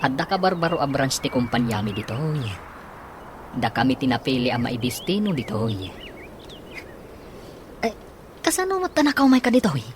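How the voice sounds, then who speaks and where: A man's voice: medium pitch, big pitch swings, moderate pace, pauses often, noisy background, close to an everyday voice. An actor with an Ilocano accent playing an earnest, smitten young man in a radio drama.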